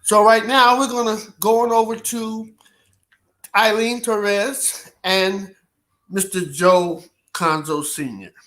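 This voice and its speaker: A man's voice: high voice, steady tone, slow pace, fairly bright tone. A man hosting a talk show, formally introducing his guest before handing over. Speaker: show host.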